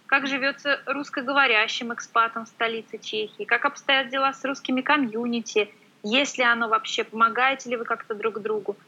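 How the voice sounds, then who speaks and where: A woman's voice: fairly high pitch, fairly even intonation, fairly quick, bright, forceful tone, slightly rough, lo-fi sound. A woman hosting an interview, asking long questions and murmuring short affirmations. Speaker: interviewer host.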